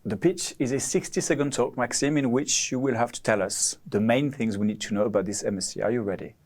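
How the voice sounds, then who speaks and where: A man hosting an interview, prompting guests in a brisk, friendly way. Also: medium pitch, darkish tone.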